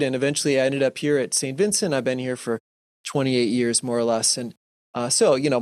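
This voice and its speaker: A middle-aged American man giving an explanatory, conversational talk in a measured, deliberate way with reflective pauses. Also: medium pitch, dry recording, studio quality. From United States. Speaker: religious speaker giving an online talk. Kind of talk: teaching presentation, explanatory and conversational.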